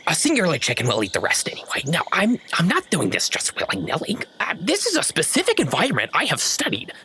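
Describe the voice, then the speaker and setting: A scrawny bald scholar of beasts from a fantasy game, played by a man, fussy and defensive. The voice is pushed high, stammering through indignant explanations over faint background noise and night critters. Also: wildly swooping pitch, quick pace, pushed and bright, exaggerated voice. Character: tall scrawny bald eccentric chicken-breeding naturalist, indignant.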